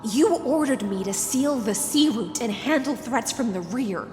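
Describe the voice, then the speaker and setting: High-voiced, reproachful game knight. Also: female voice, expressive, unhurried, darkish tone. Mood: hurt, accusing. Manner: grave, accusing, restrained. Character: wounded, loyal female knight commander, reproachful. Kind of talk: video game drama dialogue. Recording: echoey reverberant hall, music behind.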